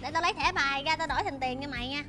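A young woman with a Southern Vietnamese accent chats playfully as a show guest. Her voice is high and she talks fast, with loud music behind her. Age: 20s. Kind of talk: casual playful chat. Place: large indoor studio set of an old shop.

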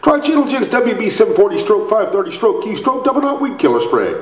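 A man playing an emphatic pitchman in a parody old-time radio commercial performed on stage. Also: sing-song, fast talker. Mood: selling. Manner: emphatic, repetitive sales patter. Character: mock radio pitchman selling weed killer. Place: theatre stage, indoors. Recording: roomy stage, distant microphone.

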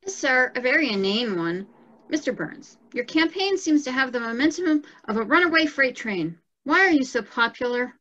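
Woman as a high, sharp precocious schoolgirl. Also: very animated, moderate pace, exaggerated voice. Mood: dutiful. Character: earnest, precocious young schoolgirl daughter. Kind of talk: amateur cartoon table read. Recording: muffled video-call audio.

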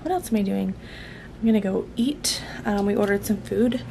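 A woman's voice: mid-range voice, normal speed, gravelly, mellow. A woman in her thirties chatting informally and self-deprecatingly to her camera in a small bedroom, as a vlogger. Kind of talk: casual vlog chat to camera. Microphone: camera at arm's length or set nearby. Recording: noisy camera audio with room hum.

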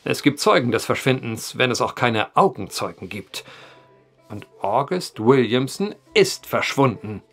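An actor playing a brooding, eloquent storyteller at a bar in an audio drama, wordy and theatrical. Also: male voice, mid-range voice, expressive, unhurried, fairly bright tone, slightly rough, soft background music, exaggerated voice. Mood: brooding, insistent.

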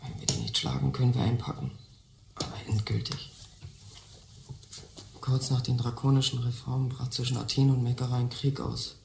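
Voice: mid-range voice, flat delivery, moderate pace, vocal fry, pauses often, darkish tone, roomy sound, slight background noise, natural voice. A performer playing a restless, impatient teenage schoolboy in a radio play, brash, slangy and grumbling. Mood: distracted, grumbling. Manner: impatient, muttering to himself, slangy. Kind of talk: German radio play acting.

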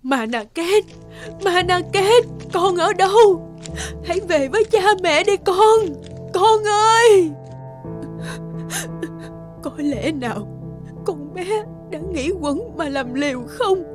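A woman voicing an anguished, worried mother in an animated fairy tale, wailing and pleading. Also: extremely high pitch, takes it slowly, pauses often, soft background music, heavily acted. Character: anguished, worried mother searching for her lost daughter.

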